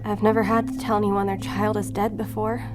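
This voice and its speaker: A woman's voice: mid-range voice, little pitch movement, brisk, music in the background, lightly acted. A woman playing a determined, guilt-ridden federal investigator in an audio drama, earnest and resolute in manner. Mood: shaken. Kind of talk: audio fiction acting.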